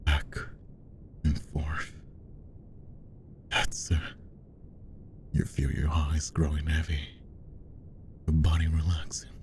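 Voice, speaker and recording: A man playing a possessive, menacingly tender magician hypnotist in roleplay ASMR, speaking softly and coaxingly, often slipping into whispers. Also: slight background noise, full character voice. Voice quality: soft, very deep, gravelly. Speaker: voice actor.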